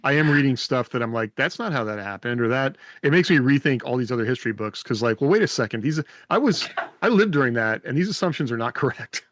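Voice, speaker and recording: A man's voice: mid-range voice, expressive, fast talker, hardly any pauses, a bit bright, no echo. A middle-aged man co-hosting a podcast, chatting casually and thinking aloud with questions. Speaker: podcast co-host. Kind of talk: casual panel chat about writing fiction.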